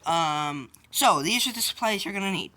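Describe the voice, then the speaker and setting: A woman's voice: medium pitch, animated, moderate pace, bright, forceful tone. A woman hosting a DIY how-to video, explaining each step informally and a little hesitantly. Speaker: host of a DIY tutorial video. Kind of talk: casual hands-on how-to explanation.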